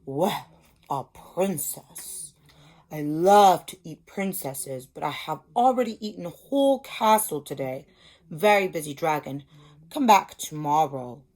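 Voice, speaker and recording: Woman voicing a loud, gruff, boastful storybook dragon. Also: low-pitched, expressive, a little slow, pitched below the performer's own voice. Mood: smug, dismissive. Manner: loud, brusque, self-important. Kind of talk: read-aloud storybook character voice.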